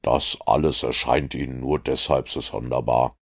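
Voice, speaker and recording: A man voicing a calm, confident detective who explains his reasoning in a measured, lecturing way. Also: a bass voice, vocal fry, full character voice. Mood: explaining. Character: calm, confident male detective, middle-aged.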